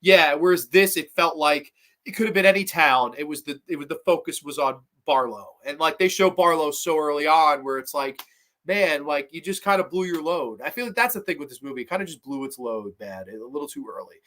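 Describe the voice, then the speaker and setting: A man's voice: fairly high pitch, lively intonation, fairly quick, bright, forceful tone. A young man hosting a horror movie livestream, rambling and opinionated, swearing casually and a little congested with a slight cough. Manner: rambling, opinionated, swears casually, reads chat comments aloud. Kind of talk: casual livestream review chat.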